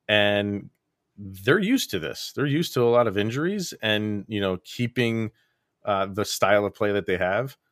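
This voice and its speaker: A man guesting on a sports podcast, chatting in a lively way and laughing easily. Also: lowish voice, no echo, clean studio sound. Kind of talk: casual sports chat.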